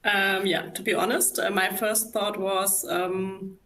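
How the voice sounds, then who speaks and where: A woman whose first language is German speaks English with a German accent. She talks as a guest in a live conversation, picking her words with care and pausing on frequent fillers. Her voice sits at an ordinary middle pitch. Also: flat delivery, a bit bright. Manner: thoughtful, hesitant, many fillers. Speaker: guest, former psychiatry worker.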